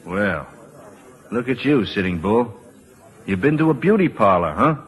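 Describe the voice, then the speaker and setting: Low-voiced, cynical tough ex-soldier. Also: male voice, big pitch swings, unhurried. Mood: teasing. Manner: clipped, sardonic, slangy. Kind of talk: old-time radio drama acting. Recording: vintage radio broadcast recording.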